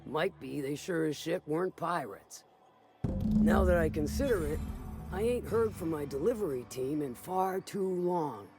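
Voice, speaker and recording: High-pitched, folksy frontier boss. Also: male voice, animated, a little slow, over music. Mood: sly, businesslike. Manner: folksy, sly drawl. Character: folksy, shrewd frontier boss with supply crews. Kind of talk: video game voice acting.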